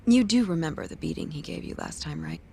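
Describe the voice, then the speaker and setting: A woman's voice: on the low side, expressive, quick pace, creaky, dark tone, a little hiss or hum. A woman in an English game dub playing a cool, teasing, composed lawyer. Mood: calm. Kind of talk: dubbed video game cutscene acting.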